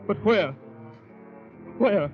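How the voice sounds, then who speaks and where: An actor playing a shaken, shell-shocked Canadian veteran, narrating a 1940s radio suspense drama in a halting, polite, dramatic manner. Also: male voice, high-pitched, lively intonation, full character voice. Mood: shaken, frantic. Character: anxious shell-shocked Canadian war veteran, lost, frantic. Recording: dull vintage 1940s radio recording, music behind.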